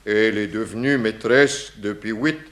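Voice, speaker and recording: A man's voice: lowish voice, a bit bright, close to an everyday voice. An actor playing an eccentric, philosophical Russian émigré with a Russian accent, grandiloquent and cryptic, dropping his articles in a 1960s French radio crime drama. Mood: gossipy, mournful. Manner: slow, grandiloquent, broken grammar with dropped articles.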